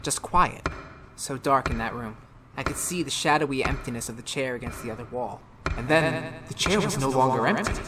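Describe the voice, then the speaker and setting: A woman's voice: a bass voice, fairly quick. A woman playing a tormented, unravelling murderer in a retro radio horror drama, speaking in feverish, melodramatic broken phrases. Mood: hushed dread. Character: tormented murderer Daryl, haunted, unravelling, insisting on sanity. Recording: noisy radio drama recording.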